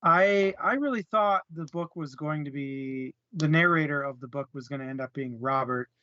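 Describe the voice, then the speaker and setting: A man's voice: on the high side, moderate pace, bright, forceful tone, dry recording, highs cut off. A man casually discussing a book as a co-host, hesitant and searching for words, with small chuckles. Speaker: book club podcast co-host.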